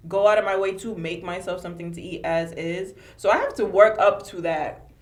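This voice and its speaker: Young woman host, low voice, blunt. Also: fairly even intonation, a little fast. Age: young adult. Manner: candid, casual, swears freely. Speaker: podcast host. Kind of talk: casual chat.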